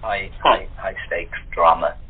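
A man speaks as a guest in a casual discussion. His voice is fairly low and husky. The line is thin and tinny, like a telephone call. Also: steady tone, measured pace. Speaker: voice actor, interview guest. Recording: tinny phone-line sound.

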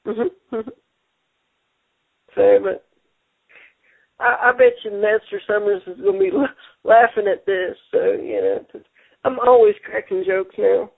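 A man hosting a casual radio show, talking haltingly and repeating words, with many fillers. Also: high-pitched, sing-song, gravelly, no room sound. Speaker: show host. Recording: tinny phone-line sound.

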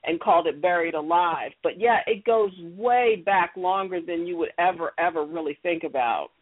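A woman's voice: lowish voice, moderate pace. A woman telling stories casually as an interview guest, heard over a tinny telephone line. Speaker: interview guest, audio drama producer. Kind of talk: casual conversational storytelling.

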